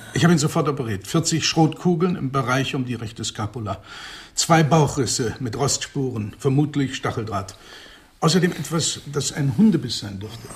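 An actor playing a matter-of-fact veterinary surgeon in a radio drama, speaking precise in a sober, clinical manner. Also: male voice, mid-range voice, natural voice. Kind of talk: German radio drama acting.

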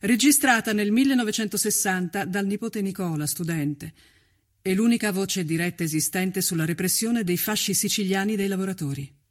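A woman's voice: mid-range voice, normal speed. A woman delivers formal, scripted narration for a documentary. She reads out citations and dates in an announcing style.

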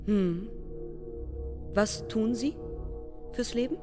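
A woman's voice: fairly high pitch, extremely slow, dark tone. Probing, sceptical woman, radio drama: pointed questioning, long reverb, music beneath. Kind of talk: German radio drama dialogue.

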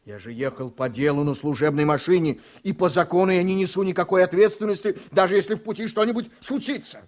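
An actor in a Russian radio play voicing an embattled, blustering politician who is desperate and scheming, speaking emphatically and commandingly. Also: male voice, sits high, fast, darkish tone, natural voice. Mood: indignant.

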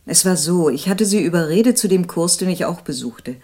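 A woman's voice: on the low side, animated, fairly quick, darkish tone. An actress playing a woman who earnestly explains her memories of a friend in a radio drama. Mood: concerned. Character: woman acquaintance earnestly recalling a worried friend. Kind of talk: German radio drama testimony.

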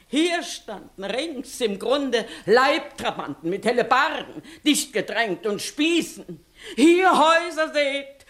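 Indignant village woman, very animated. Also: medium pitch. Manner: declamatory, emphatic, plaintive. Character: indignant, long-winded village woman, a stubborn plaintiff. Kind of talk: 1960s German radio play acting, classical comedy.

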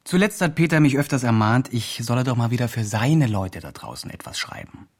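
A man's voice: mid-range voice, animated, brisk, slight background noise, close to an everyday voice. An actor playing an earnest, patronising male blogger who speaks in encouraging therapy-speak, in a radio drama. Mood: earnest, reflective. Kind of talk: radio drama, dialogue and reflective narration.

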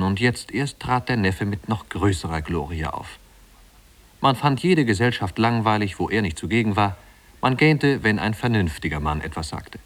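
A man narrating a literary tale in a 1950s radio drama, in a measured, wry storytelling manner. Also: fairly low pitch.

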